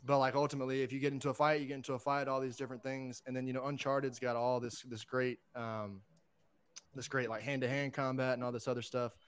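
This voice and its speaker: A man talking informally and conversationally as a podcast panelist, pitching his picks in a casual, enthusiastic pop-culture chat. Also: level tone, fast talker, smooth.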